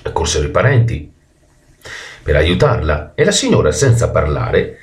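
A man with a deep voice tells a children's story. His intonation moves widely and expressively. He is animated and occasionally trips on a word and corrects it. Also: normal speed. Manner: animated, stumbles and corrects words.

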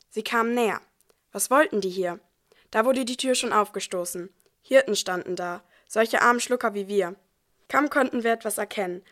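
A woman playing a gentle young new mother in a nativity audio play. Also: medium pitch, lightly acted.

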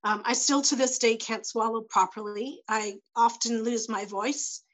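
Halting woman, slightly high voice. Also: steady tone, a bit bright. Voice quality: voice tends to go hoarse. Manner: halting, many fillers and restarts, searching for words. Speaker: panel guest. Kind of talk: personal testimony in a panel.

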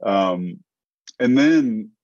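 A New Zealand man in his fifties with an American accent, a tech entrepreneur talking through an interview in a halting way, full of restarts and fillers. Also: fairly low pitch, animated, a little mellow, dry recording, dull audio. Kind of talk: casual interview chat.

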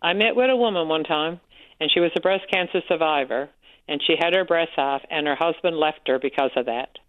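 A woman with a Newfoundland accent calling in to a radio show, loud-voiced and frank, talking on and on. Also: deep voice, brisk, no echo. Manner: frank, talkative storyteller. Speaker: caller, support group organizer. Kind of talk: radio call-in chat. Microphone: telephone. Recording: tinny phone line.

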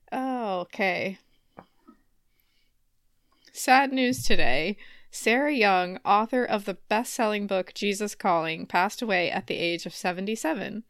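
A woman co-hosts a casual comedy podcast at a slow pace, in a close, dry recording. She is giggly and self-mocking as she banters and reads joke news items, with a mid-range pitch. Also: fairly bright tone, no echo. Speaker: podcast co-host.

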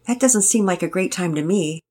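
A gentle, earnest mother giving calm counsel, read by a woman. The voice is low-pitched and a little husky, and it speaks steadily. It has a patient, guiding manner. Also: fairly quick. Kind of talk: storybook reading.

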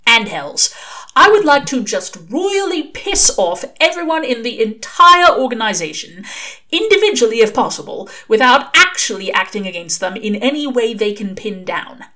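A woman's voice: fairly high pitch, sing-song, normal speed, sharp tone, pitched above the performer's own voice. A woman voicing a laconic, sardonic male demon with a slangy, offhand, defiant manner.

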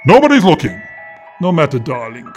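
A man's voice: a little high, wildly swooping pitch, fairly quick, a little mellow, faint music behind. A man voicing a creepy, glamorous woman who collects dolls, speaking with theatrical, sweetly menacing charm and calling people darling. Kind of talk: children's audio story acting.

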